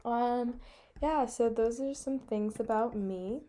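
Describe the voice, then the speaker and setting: Mellow young American woman, casual chat. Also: fairly high pitch, slow pace. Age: young adult, about eighteen. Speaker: podcast co-host.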